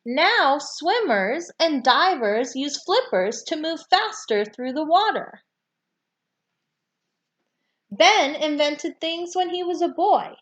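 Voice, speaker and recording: Woman, high lilting voice with lively intonation, children's book read-aloud, deliberate emphasis.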